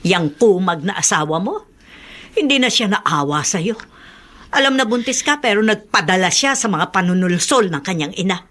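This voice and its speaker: A woman's voice: mid-range voice, animated, moderate pace, a bit bright. A middle-aged woman playing a protective, scornful mother in a Tagalog radio drama, fussing and exclaiming expressively. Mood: indignant.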